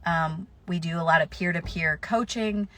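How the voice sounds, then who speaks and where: A woman's voice: lowish voice, normal speed, a bit bright. Woman with a cold giving casual advice. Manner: practical, conversational, many fillers. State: slightly stuffy with a cold. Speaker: florist answering viewer questions.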